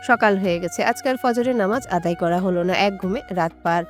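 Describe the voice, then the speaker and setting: A woman's voice: mid-range voice, a little fast. A woman reading a scripted romantic story as an audio story narrator. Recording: music under the voice. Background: background music.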